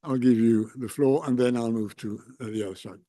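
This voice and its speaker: This is a man with a mellow, mid-pitched voice and very little echo. He moderates a conference session informally, giving out turns for questions. He is polite and halting as he goes. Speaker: panel moderator. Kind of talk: informal handling of audience questions.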